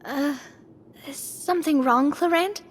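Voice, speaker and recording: A woman's voice: sits high, a little slow, noisy background. A woman playing an upbeat, curious young adventurer in a video game, with a breezy, confident manner. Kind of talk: video game character acting.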